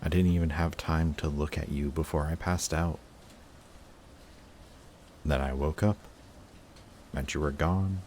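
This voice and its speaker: A man playing an emotional villain confessing to his longtime enemy in a dramatic audio roleplay, intense, halting and defensive. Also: very deep voice, a little mellow, a little hiss or hum. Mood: bitter, subdued.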